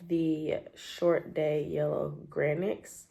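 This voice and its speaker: A woman talks casually at home with a low, gravelly voice that sounds stuffy and scratchy from a cold. She fills her talk with ums and trailing pauses. A faint hiss sits under her voice. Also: level tone, mellow. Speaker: homestead gardening vlogger. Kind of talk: casual chatty show-and-tell. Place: home, at a table.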